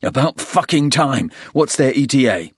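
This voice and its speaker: A man's voice: mid-range voice, expressive, fairly quick, no echo, clean studio sound, voice pushed up, close to an everyday voice. A man voicing a blunt, sardonic British soldier under fire in an audiobook thriller. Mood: urgent, exasperated.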